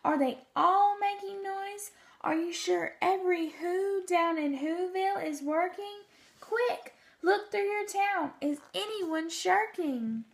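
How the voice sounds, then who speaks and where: A woman's voice: extremely high pitch, slow pace, creaky, voice pushed up, full character voice. A woman voicing an earnest, urgent elephant in a storybook read-aloud, pleading emphatically. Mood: urgent, encouraging. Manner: emphatic, urging.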